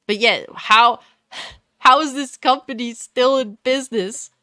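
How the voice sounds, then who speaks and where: A woman's voice: unhurried, pushed and bright, no echo. Blunt scornful woman ranting, dry sound. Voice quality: fairly deep voice for a woman. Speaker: commentary YouTuber. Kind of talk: ranting commentary.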